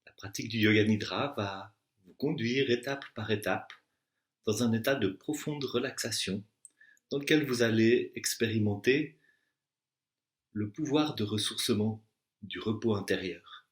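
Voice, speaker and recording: A man teaching meditation, guiding a deep relaxation with slow, deliberate instructions and long pauses. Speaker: yoga nidra and meditation teacher. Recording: echoey room sound.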